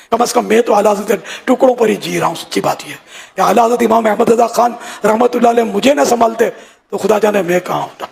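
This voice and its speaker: An older male preacher with a very gravelly, raspy voice pitched high for a man. He delivers an informal sermon, advising his followers. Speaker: religious leader.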